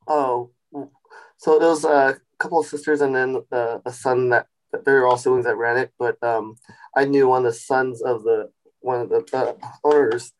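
A man in his forties, a chef and restaurant founder, telling his story in a relaxed podcast interview with many fillers and restarts. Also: medium pitch, rough recording. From Sacramento, California. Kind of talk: casual interview.

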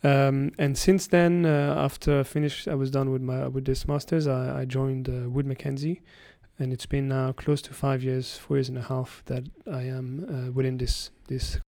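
Guy, gravelly, explaining things, lots of restarts, dry recording. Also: mid-range voice, little pitch movement, warm tone, dry recording. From France. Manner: explanatory, halting with frequent restarts. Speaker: guest energy analyst. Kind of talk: interview answers.

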